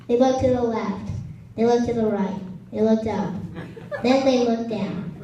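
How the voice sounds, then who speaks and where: A woman's voice: fairly high pitch, unhurried, dark tone, muffled recording. A woman reading a scripted children's story as narrator. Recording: echoey room, distant mic, faint noise.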